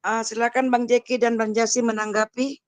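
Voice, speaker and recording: A man's voice: extremely high pitch, little pitch movement, fairly quick, clear, resonant tone, dry recording. Dry-sounding male debate moderator. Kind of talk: brief turn-giving moderation.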